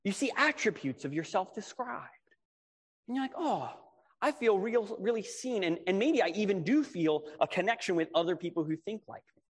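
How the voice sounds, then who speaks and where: A man's voice: high-pitched, expressive, moderate pace, husky. Man, conversational preacher, big projecting voice, swooping intonation, casual self-deprecating manner, long hall reverb. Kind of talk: conversational sermon to a congregation. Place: large reverberant room.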